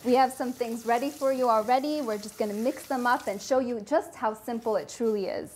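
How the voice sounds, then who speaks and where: A woman's voice: on the high side, a little fast, a little mellow, slight background noise. A young woman from California, a dietetics student, upbeat and talking straight to camera as she presents a cooking segment in a studio kitchen. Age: young adult.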